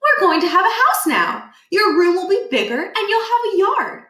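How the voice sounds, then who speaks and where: A woman voicing a storybook mother who gently pleads with her sulking child in an upbeat sing-song. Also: extremely high pitch, very animated, moderate pace, voice pushed up, full character voice. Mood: coaxing, upbeat. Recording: echoey room.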